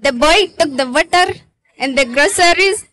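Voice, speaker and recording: A woman's voice: high voice, animated, normal speed, fairly bright tone. A schoolgirl doing an Indian-accented speaker. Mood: chatty. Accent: put-on Indian. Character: Indian English speaker, chatty.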